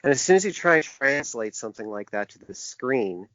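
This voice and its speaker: A man giving thoughtful, halting answers as an interview guest, often repeating words as he thinks. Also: medium pitch, very animated, a bit bright, no room sound. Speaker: interview guest, publisher and graphic designer. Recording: dull, lo-fi, dry sound.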